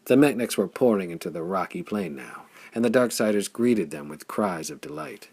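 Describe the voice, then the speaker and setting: Deep, gravelly male audiobook narrator. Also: sing-song, normal speed, darkish tone, no echo. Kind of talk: dramatic scripted pulp adventure narration.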